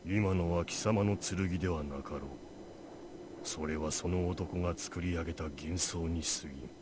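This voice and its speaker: A man playing a dying giant warrior in a Japanese visual novel, speaking slowly and solemnly without emotion. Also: deep voice, fairly even intonation, noisy background, natural voice. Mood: grave, cold. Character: dying undefeatable male giant warrior, grave and calm.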